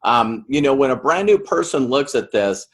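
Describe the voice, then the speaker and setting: A middle-aged male host talks excitedly and rambles, restarting his sentences and using many fillers. His voice has an ordinary pitch for a man. He speaks in a loose, conversational style. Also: normal speed, slightly rough. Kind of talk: interview.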